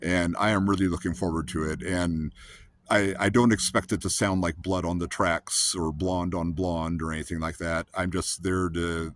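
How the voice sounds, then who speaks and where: A man in his fifties with a low, rumbly voice, hosting a rambling, earnest casual monologue full of restarts. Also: steady tone, moderate pace, a little hiss or hum. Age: 50s. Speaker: host.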